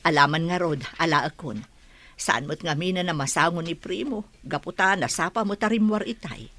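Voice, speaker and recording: A woman's voice: fairly low pitch, moderate pace, a bit bright, close to an everyday voice. A woman playing a sociable village aunt and mother in a radio drama. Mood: friendly. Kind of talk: Ilocano radio drama acting.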